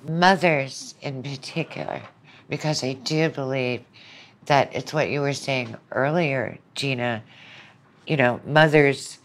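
A woman's voice: rumbling low, measured pace, a little hiss or hum. A woman lecturer speaking as a podcast guest, explaining things in a conversational way.

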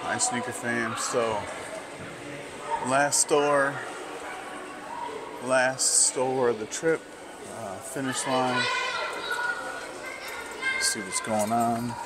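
A man vlogging casually as he browses sneaker stores in a mall, talking slowly and musing to himself with lots of fillers. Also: medium pitch, fairly even intonation. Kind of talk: casual walk-through commentary.

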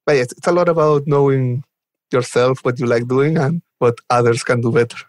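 A man with a Chilean Spanish accent talks casually as an interview guest. His voice sits at a medium pitch and his manner is enthusiastic. The sound is dry, with little room to it. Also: brisk, no room sound. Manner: enthusiastic, chuckles while talking. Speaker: guest, software developer advocate. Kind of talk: casual podcast interview chat.

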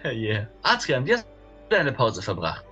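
Live-stream host, mid pitch, slow pace, casual banter, giggly, hesitant. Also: huge pitch swings, bright, forceful tone, muffled recording. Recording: music behind the voice. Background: music.

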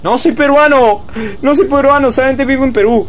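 A young man from Venezuela streaming live gameplay commentary, excitable and quick to repeat himself and yell when startled. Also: very high voice, a little hiss or hum. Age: young adult. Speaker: gaming streamer. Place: home room, indoors.

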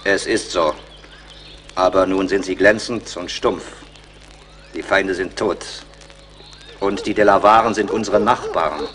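A cunning, low-voiced warrior chief villain. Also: male voice, measured pace, pauses often, a bit bright. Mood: formal, sly. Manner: formal, declamatory, insinuating. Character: cunning, vengeful Huron chief, proud villain. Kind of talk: German radio play acting. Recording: faint background noise.

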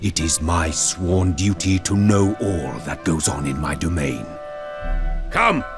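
A man's voice: deep voice, expressive, slow, music in the background, heavily acted. An actor playing an imperious, suspicious emperor in a fantasy game, blustering and formal in his commands. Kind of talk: fantasy game cutscene acting.

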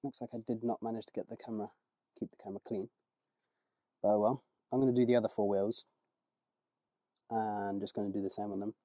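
A man in a casual vlog, talking through his car wheel cleaning outdoors in a relaxed, rambling way, with small self-corrections, his voice moving closer and farther from the camera. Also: lowish voice, a little fast, long pauses, dry recording. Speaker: car rental host. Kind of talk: casual vlog narration while working.

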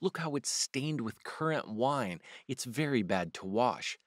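A man's voice: mid-range voice, expressive, measured pace, dry recording, studio quality, lightly acted. A man reading a storybook aloud and voicing a humble little hedgehog washerwoman who keeps bobbing and repeating polite phrases. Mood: eager, polite.

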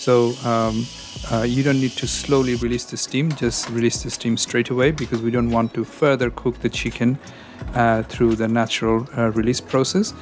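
A man's voice: medium pitch, level tone, moderate pace. A man narrating his cooking video in a casual, hesitant way, with many ums and uhs. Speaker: cooking channel host.

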